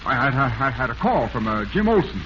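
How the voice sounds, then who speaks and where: An actor playing a nervous, cornered murder suspect in a 1940s radio drama, hesitant and stammering. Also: male voice, mid-range voice, very animated, a little fast, fairly bright tone. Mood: anxious, eager. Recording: muffled, noisy vintage radio recording.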